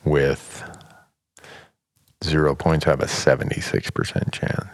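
Very deep-voiced chatty man in his fifties. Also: a little slow, vocal fry, no echo. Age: 50s. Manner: chatty, storytelling, chuckles often. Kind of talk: casual chat.

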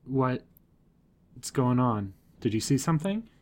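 A man's voice: medium pitch, big pitch swings, measured pace, a little mellow. A man playing a blunt, practical woman in an audio drama, with a wry, matter-of-fact manner. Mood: surprised. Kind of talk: hobby audio drama acting.

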